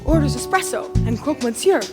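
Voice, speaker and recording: A woman's voice: high voice, lively intonation, fast, loud music behind the voice. A woman singing rhythmically in a school musical, playing a nosy, teasing cafe matchmaker. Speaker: stage singer in a school musical.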